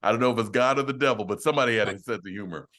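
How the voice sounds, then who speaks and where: Relaxed man chatting, laughing easily. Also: medium pitch, rapid-fire. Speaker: a cappella singer and host. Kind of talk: casual chat.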